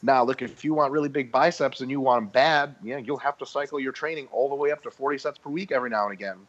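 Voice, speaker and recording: A man's voice: medium pitch, fast talker, a bit bright, highs cut off. A man explaining muscle training in a casual podcast discussion, talking through his points with frequent ums and restarts. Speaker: guest expert.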